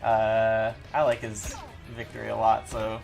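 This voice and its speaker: A man's voice: fairly low pitch, monotone, unhurried, gravelly. A man chatting casually as a player and commentator on a video game. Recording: loud game music behind speech. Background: fighting game music and sounds.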